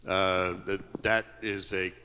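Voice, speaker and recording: Loud direct guy asking a question, low voice with a bright edge, lots of uh. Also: flat delivery, slow, a little hiss or hum. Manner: loud, direct, hesitant fillers. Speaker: audience member, compliance officer. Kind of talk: audience question. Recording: echoey conference hall.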